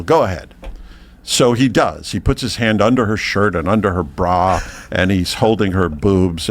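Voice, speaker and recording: A man with a low voice tells a long, rambling joke on a podcast, full of hesitations. His pitch swings widely as he builds toward each punchline. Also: creaky. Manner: rambling storyteller, halting with many uhs, then building to punchlines. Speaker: podcast co-host. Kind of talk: telling a long joke in casual chat. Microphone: podcast mic, audio only.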